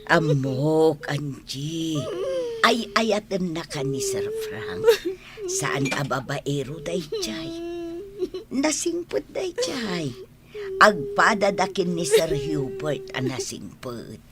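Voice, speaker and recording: A woman with an Ilocano accent playing a gentle, long-suffering elderly mother in a radio drama. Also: medium pitch, wildly swooping pitch, slow, over a noisy crowd. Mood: consoling. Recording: noisy ambience behind the voice.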